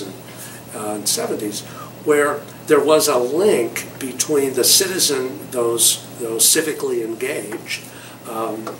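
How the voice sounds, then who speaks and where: An older man from Southern California, recalling his career in a thoughtful, rambling interview with many fillers. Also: medium pitch. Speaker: award recipient interviewee. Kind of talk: reflective interview recollection. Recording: echoey room, mic far off, noisy.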